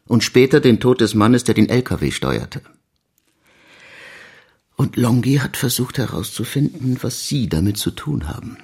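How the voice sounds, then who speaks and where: A man's voice: mid-range voice, very animated, normal speed, a little mellow, breathy edge. An actor playing a blunt, probing male chief inspector who presses his questions in a radio crime drama. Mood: insistent, pressing. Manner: confronting, insistent interrogation.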